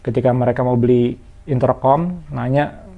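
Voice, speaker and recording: A man's voice: medium pitch, steady tone, moderate pace, vocal fry, narrow, tinny audio. A man talks viewers through a casual product review in a conversational, explanatory way. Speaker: motorcycle gear reviewer.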